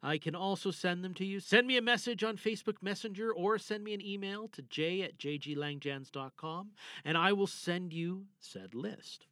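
Male podcast host, casual and enthusiastic, slightly higher-pitched voice, emphatic delivery, very dry sound. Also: moderate pace, pushed and bright, no room sound.

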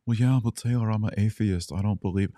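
Man with a very low voice, emphatic podcast commentary, punchy delivery. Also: brisk, warm tone. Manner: emphatic, rising to exclaim on key points. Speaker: podcast host. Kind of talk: commentary on played clips.

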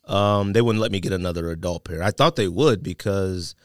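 A man hosting a podcast, bantering casually with plenty of slang and emphatic drawn-out words. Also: deep voice, no echo, clean studio sound. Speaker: podcast host. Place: podcast studio.

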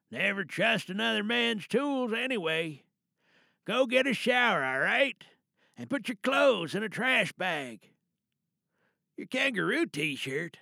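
A man playing a protective, grim older father in an audio drama monologue, speaking in a halting, deliberate and coldly calm way. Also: high voice, vocal fry, no room sound, clean studio sound, heavily acted. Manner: halting, hushed reassurance turning cold and deliberate. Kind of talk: dramatic audio monologue, one side of a phone call.

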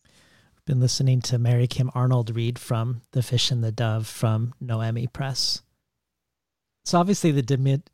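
A man who hosts a literary interview and speaks in a measured, thoughtful way, with some restarts. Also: mid-range voice, a little mellow, dry recording, studio quality. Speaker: interviewer, host.

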